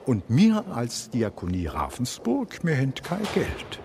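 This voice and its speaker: An actor playing a self-assured, scheming police chief with a Swabian accent, expansive and ironic, in a radio drama. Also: male voice, mid-range voice, wildly swooping pitch, slow pace, noisy background, full character voice. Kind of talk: German radio drama acting.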